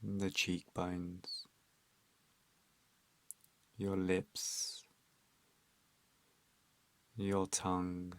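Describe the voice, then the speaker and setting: Very slow, low-pitched male meditation guide. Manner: calm, soothing, instructional, with long pauses.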